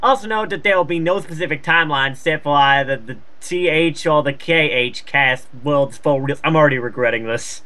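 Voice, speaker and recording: A man with a fairly high voice reads a story aloud in a joking way. He sounds exasperated and drops into casual, sweary asides. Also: normal speed, few pauses, sharp tone. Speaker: co-host reading fan fiction aloud.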